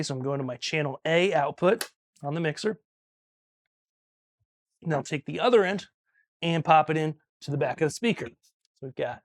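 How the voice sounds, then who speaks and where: A man's voice: on the high side, no echo. A man presenting a practical tutorial in a casual, step-by-step way, often checking in as he explains, recorded in a small studio. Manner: casual, instructional, frequent "okay" check-ins. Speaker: audio instructor and video host.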